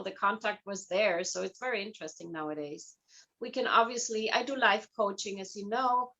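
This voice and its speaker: A woman's voice: medium pitch, pushed and bright, rough recording. A woman speaking as an interview guest in a chatty, conversational way, explaining things through personal anecdotes. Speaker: guest, hypnotherapist and life coach.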